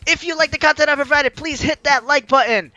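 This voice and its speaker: A man's voice: very high-pitched, brisk, bright, forceful tone, lo-fi sound. A man hosting a gaming video, reading game narration aloud and throwing in jokey asides. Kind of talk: let's play commentary mixed with reading game narration aloud.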